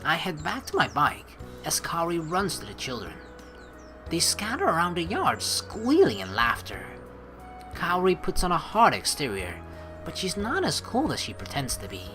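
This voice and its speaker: A woman hosting a let's play, reading game narration and dialogue aloud with playful, expressive commentary. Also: on the low side, moderate pace. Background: game music.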